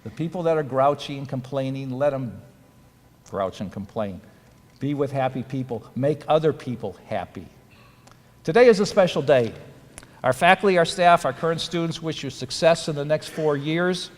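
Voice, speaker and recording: A man's voice: a little high, animated, normal speed. A man as a dental school dean giving an earnest, advice-filled ceremony speech. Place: auditorium. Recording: reverberant hall.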